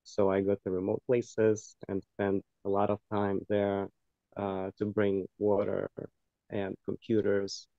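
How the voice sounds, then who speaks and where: A man from Ukraine, a guest on a talk show, talking calmly with many fillers. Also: on the low side, takes it slowly, gravelly, no room sound, lo-fi sound. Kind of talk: casual interview chat.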